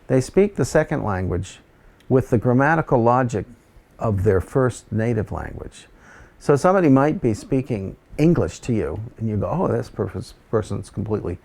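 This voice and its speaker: A man's voice: medium pitch, darkish tone. Rambling man, hesitant and reflective. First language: Dutch. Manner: rambling, hesitant, with many fillers. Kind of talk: casual conversation.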